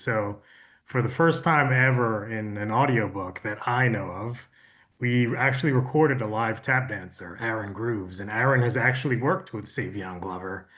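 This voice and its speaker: A man with a moderately low voice speaks conversationally on a panel. He explains production work in careful detail, keeping it matter-of-fact. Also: a bit bright. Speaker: audiobook producer.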